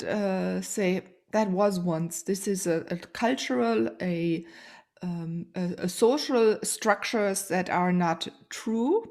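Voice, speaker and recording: German-accented woman hosting, gravelly voice. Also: on the low side, a little mellow. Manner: searching for words, many restarts. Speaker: podcast host. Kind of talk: conversational interview.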